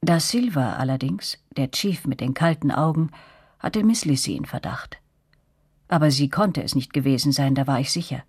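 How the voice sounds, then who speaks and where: A woman playing a young narrator in a radio play, recalling events in a measured, reflective way. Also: deep voice, darkish tone, breathy edge, no echo, clean studio sound.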